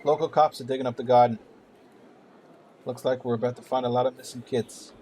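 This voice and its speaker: A grim police detective, acted by a man in a radio play. His voice sits at a middle pitch and sounds world-weary, with a faint hum and noise behind it. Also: steady tone, a little fast, mellow, lightly acted. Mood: grim, urgent. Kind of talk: radio drama dialogue. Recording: clear hum and background noise.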